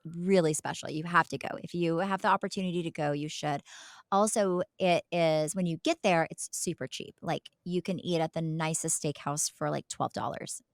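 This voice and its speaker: Female podcast co-host, lowish register, dry sound. Casual, chatty, emphatic delivery. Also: dry recording. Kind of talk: casual chat.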